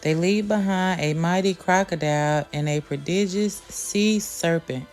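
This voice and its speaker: A woman reading a children's adventure story aloud in a careful, expressive storytelling manner, as the host of a reading channel. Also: on the low side, a little slow, soft background music.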